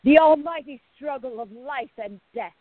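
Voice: high voice, moderate pace, no echo, lightly acted. A performer playing a stern, officious gatekeeper of a secret society in a radio play, speaking formally and curtly. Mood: ceremonial. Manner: commanding, formal, curt. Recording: thin, phone-like band-limited audio.

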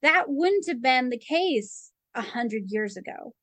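A woman's voice: sits high, animated, unhurried, fairly bright tone, no echo. A woman co-hosting a casual faith podcast, chatty and full of "like" fillers. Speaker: co-host. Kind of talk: casual theological discussion.

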